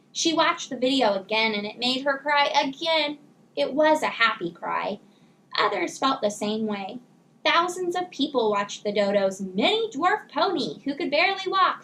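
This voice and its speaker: High-voiced woman reading a children's story. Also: a bit bright, a little hiss or hum, poor audio. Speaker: teacher reading a children's book aloud. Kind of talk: expressive read-aloud storytelling for children.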